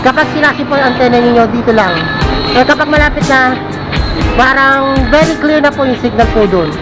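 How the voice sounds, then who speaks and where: A woman's voice: high-pitched, a little fast, rough recording. A Filipino woman giving casual, rambling vlog commentary as she repeats herself outdoors. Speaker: vlogger. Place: outdoors by a busy city avenue and footbridge. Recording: loud music and traffic behind. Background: music and traffic.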